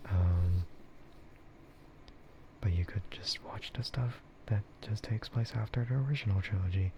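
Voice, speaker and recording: A man with a low, breathy voice rambles in a soft ASMR half-whisper. He talks slowly and informally, often hesitating. The audio is muffled, with clear noise behind him. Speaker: VTuber streamer hosting an ASMR stream.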